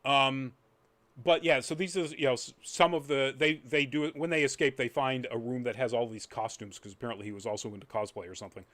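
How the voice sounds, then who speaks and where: A man's voice: mid-range voice, fairly even intonation, a little fast, fairly bright tone. Male podcast host, mid-range voice, conversational reviewer who rambles and drifts into tangents. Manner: rambling, trailing off into asides. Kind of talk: casual chat review.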